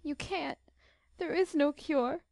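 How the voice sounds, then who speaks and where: A woman reading an audiobook as a gentle, timid young girl who is tearful and suffering, speaking in a halting, pleading way. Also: high-pitched, vocal fry, no echo, studio quality, pitched above the performer's own voice, heavily acted. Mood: tearful, despairing. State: tearful, sobbing. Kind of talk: audiobook character dialogue.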